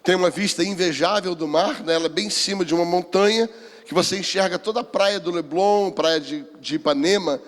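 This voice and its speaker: Male preacher, 60s, Brazilian accent, high voice, deliberate testimony delivery, reverberant hall. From Rio de Janeiro, Brazil. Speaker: pastor, seminar speaker. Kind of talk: sermon with personal testimony. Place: church hall, speaking to a seminar.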